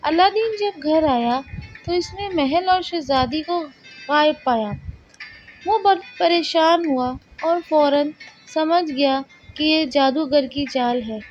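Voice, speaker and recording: A woman's voice: sits high, slow pace. A woman reading a scripted children's story as the storyteller. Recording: slightly dull, lo-fi narration track over music. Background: soft background music.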